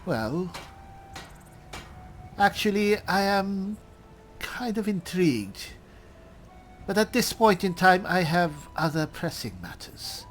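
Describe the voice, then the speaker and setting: A woman's voice: on the low side, animated, slow, pauses often, faint music behind. A woman roleplaying aloud through a video game, musing in character in a wry, theatrical way. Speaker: roleplaying game player, host. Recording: faint background noise, game ambience.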